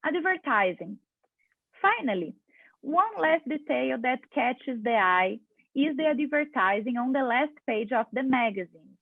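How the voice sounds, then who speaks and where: A woman from Brazil, reading a prepared conference paper in a steady, measured way over a video call. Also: sits high, dry recording. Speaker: conference speaker. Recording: tinny dry phone-like line.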